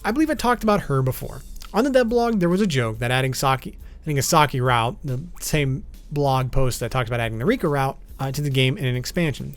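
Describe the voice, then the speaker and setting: Higher-pitched man, lively explainer narration. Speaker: video host. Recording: background music under voice. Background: music.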